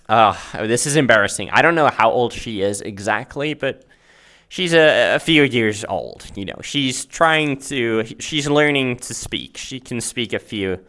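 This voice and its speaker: A man's voice: mid-range voice, bright, forceful tone, clear, resonant tone. A man whose native language is Finnish gives an informal explanatory lesson, searching for words and often checking in with "right?". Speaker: host and math teacher. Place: virtual reality chat world.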